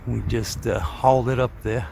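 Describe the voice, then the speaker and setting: A man with a mid-pitched voice narrates casually as he works outdoors. His commentary is plain and off the cuff, like a home vlog. It is picked up on a handheld camera with faint ambient noise around him. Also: fairly even intonation, normal speed, creaky, a little mellow. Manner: chuckles at his own remarks. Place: outdoors at the foot of an antenna tower.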